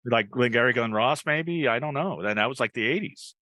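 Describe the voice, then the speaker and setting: A man's voice: mid-range voice, animated, fairly quick, pushed and bright, dry recording. A man hosting a podcast with casual banter, musing aloud and tossing in joking asides. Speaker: podcast host.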